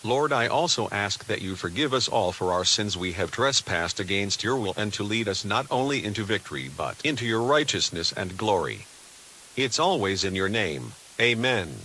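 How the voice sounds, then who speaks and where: A man's voice: lowish voice, animated, moderate pace, pushed and bright, rough recording. A man playing a grave, devout US defense official giving a solemn, formal scripted address to the nation. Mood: prayerful, solemn. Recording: hissy background noise.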